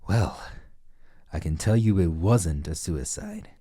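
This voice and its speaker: A man's voice: sits low, animated, mellow, slight background noise. A man playing a smugly nonchalant fairy witness in an audio drama, speaking in a genteel, arch, theatrical way. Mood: arch, mock-delicate. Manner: genteel, arch, drawn-out phrasing.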